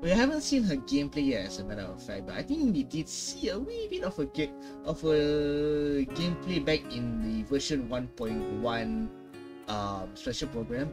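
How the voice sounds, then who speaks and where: Casting: young male gaming reaction host, mid-pitched and lively, hesitant, thinking aloud, music underneath. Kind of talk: casual reaction commentary. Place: small room at home.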